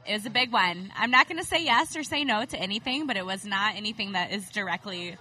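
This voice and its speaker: A woman chatting as a guest on a casual podcast, animated and quick to laugh. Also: medium pitch, a little hiss or hum, low-quality recording. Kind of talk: casual chat.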